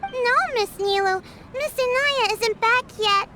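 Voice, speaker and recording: A woman voicing a tiny, eager, childlike fairy companion with a squeaky voice in an English anime game dub. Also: takes it slowly, noisy background. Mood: puzzled.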